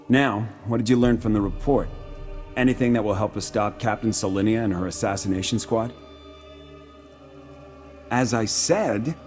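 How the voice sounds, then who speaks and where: An actor playing a pragmatic, persuasive military commander in a video game, speaking in a firm, formal and measured way. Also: male voice, medium pitch, a little mellow, natural voice. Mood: confident. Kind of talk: video game dialogue acting.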